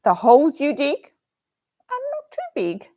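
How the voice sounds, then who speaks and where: Woman reading as a dreamy little girl, high and bouncy, storybook. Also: huge pitch swings, a little slow, dark tone, heavily acted. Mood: dreamy eager. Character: young girl Susie, dreamy and chatty.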